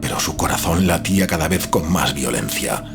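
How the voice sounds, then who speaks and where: A man with a low, deep voice narrates a gothic literary tale. His storytelling is measured and dramatic. Music plays softly behind the narration. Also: animated, creaky. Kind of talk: scripted literary horror narration.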